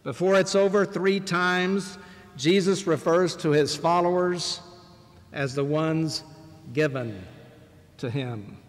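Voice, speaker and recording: Older male bishop, slightly high voice, measured pulpit delivery with long pauses, sanctuary reverb. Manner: measured, deliberate preaching with pauses. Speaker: bishop preaching. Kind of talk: sermon. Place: large church sanctuary, at a wooden pulpit. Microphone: pulpit microphone, far camera.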